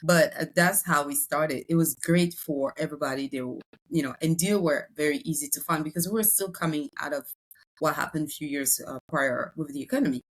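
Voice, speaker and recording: A woman's voice: deep voice, brisk, low-quality recording. A woman from Congo, raised in France, with a French accent, talking at length in a podcast interview as a real estate broker, with many fillers and restarts.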